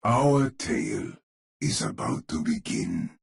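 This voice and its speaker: An actor playing a grave storyteller, narrating a gothic horror tale in a theatrical, measured, ominous manner for a game. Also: male voice, on the low side, animated, gravelly, full character voice. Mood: ominous, dramatic. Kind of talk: dramatic scripted storybook narration.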